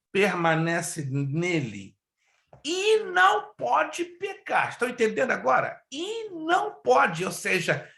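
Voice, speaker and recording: Emphatic, somewhat high-voiced pastor teaching. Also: male voice, gravelly, bright, forceful tone, roomy sound. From Brazil. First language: Hebrew and Spanish. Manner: emphatic, questions the class, stretches key words. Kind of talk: online Bible class lecture.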